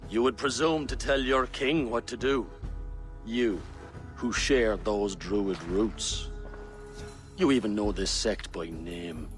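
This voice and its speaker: Stern, formal royal counsellor. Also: male voice, lowish voice, soft background music. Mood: stern, accusing. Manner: formal, accusatory, measured. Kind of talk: dramatic video game acting.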